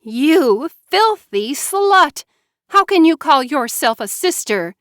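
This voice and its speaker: A woman voicing a furious, jealous young woman who shouts accusations at her sister. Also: sing-song, slow pace, no room sound, clean studio sound, heavily acted. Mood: venomous. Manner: shrill, accusing.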